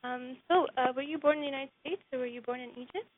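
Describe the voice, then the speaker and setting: A woman's voice: sits high, quick pace, no room sound. Hesitant woman interviewer over a phone line. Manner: hesitant, lots of ums and uhs. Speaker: interviewer, blog writer. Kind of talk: casual interview questions. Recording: phone-line sound with faint hiss.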